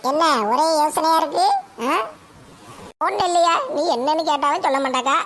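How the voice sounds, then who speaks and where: Woman doing a nagging granny, kinda high voice, fussy, faint hiss behind. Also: moderate pace, natural voice. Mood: nosy. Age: older. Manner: fussing, scolding, nosy. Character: nosy bickering old grandmother.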